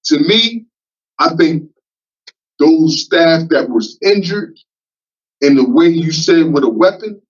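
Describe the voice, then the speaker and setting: A man in his fifties at a parole hearing, speaking haltingly with many fillers and repeats. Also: fairly high pitch, expressive. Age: 50s. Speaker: parole applicant. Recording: muffled distant remote-call audio.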